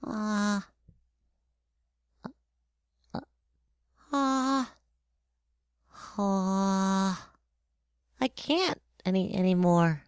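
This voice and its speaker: A man voicing a timid, curious little prince in a storybook tale, speaking softly and hesitantly. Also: sits high, drawn-out words, pauses often, clean tone, no echo, studio quality, voice pushed up. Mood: embarrassed. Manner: soft, hesitant, apologetic.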